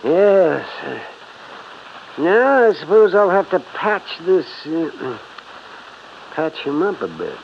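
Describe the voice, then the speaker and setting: An actor playing a mild, hesitant country doctor in a 1950s radio drama, halting and apologetic. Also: male voice, fairly high pitch, big pitch swings, vocal fry, full character voice. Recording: muffled, noisy old radio recording.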